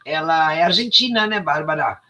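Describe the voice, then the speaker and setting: A Brazilian man moderating an online academic talk, chatty and quick in his bursts of speech. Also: a little high, animated, background noise. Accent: Brazilian Portuguese. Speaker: event moderator. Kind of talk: casual moderating on a video call.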